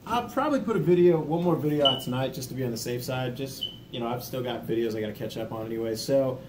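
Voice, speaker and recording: A man with a mid-pitched voice hosts an informal video, speaking plainly and directly to his viewers. His manner is folksy, with jokey asides, and there is a faint rumbly noise behind him. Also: fast talker. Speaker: video host, army veteran sharing self-help lessons. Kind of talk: informal video talk, partly reading a book overview.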